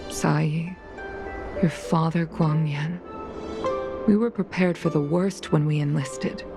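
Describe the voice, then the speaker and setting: An actress playing a centuries-old fox-folk war veteran and mother in a dramatic video game, speaking in a solemn, measured way. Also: low-pitched, steady tone, creaky, heavily acted. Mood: sorrowful, grave. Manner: solemn, measured, reflective storytelling. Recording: loud music over the voice.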